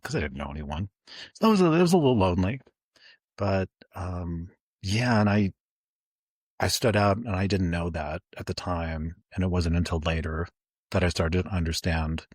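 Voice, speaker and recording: A man in his forties, co-hosting a podcast, talking reflectively and haltingly with many fillers and restarts. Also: deep voice, husky, no room sound, clean studio sound. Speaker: podcast co-host.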